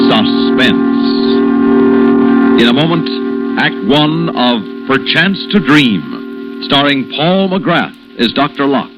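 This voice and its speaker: Male American announcer for vintage radio suspense, formal and dramatic, animated pitch, music underneath, muffled recording. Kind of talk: 1960s radio announcing, scripted.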